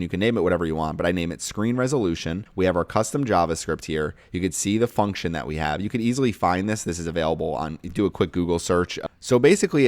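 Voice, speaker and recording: A man's voice: low voice, no echo. A man hosting a casual screen-share tutorial, explaining each step in a plain-spoken, instructional way. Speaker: tutorial host and online course creator.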